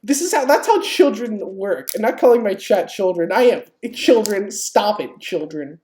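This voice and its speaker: A woman's voice: medium pitch, animated, normal speed, mellow. A woman giving casual live game stream commentary, informal and sweary, jokingly pleading with viewers. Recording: clearly noisy home stream, keyboard clicks.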